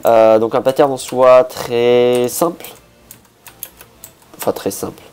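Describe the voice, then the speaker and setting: A man with a hoarse voice, a bit sick, casually talking through a building project, thinking aloud with many hesitations and restarts. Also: mid-range voice, fairly even intonation, slow pace, darkish tone. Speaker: Minecraft builder.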